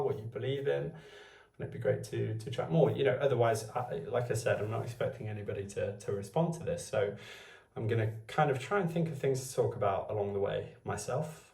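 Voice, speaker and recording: Guy in his 20s, gravelly low voice, just rambling thoughts to his phone, roomy echo. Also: dark tone, recorded from a distance. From Wales. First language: Welsh. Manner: meandering, thinking aloud. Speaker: vlogger. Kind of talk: unscripted musing to camera.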